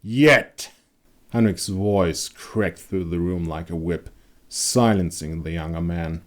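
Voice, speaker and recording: A man giving a dramatic narration of a dark fantasy story. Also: deep voice, steady tone, a little slow. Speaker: author and narrator.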